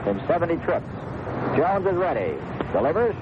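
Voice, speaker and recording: A man's voice: a little high, moderate pace, lo-fi sound. A man calling baseball play-by-play live on a 1970s radio broadcast from a stadium. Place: ballpark broadcast booth. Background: steady stadium noise.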